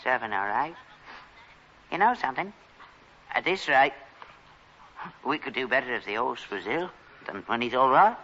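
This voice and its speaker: A man's voice: medium pitch, big pitch swings, moderate pace, long pauses, sharp tone, muffled recording, exaggerated voice. An actor playing a crafty, grasping old father, a rag-and-bone man with a London accent, in a British sitcom. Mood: conversational, sly. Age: older.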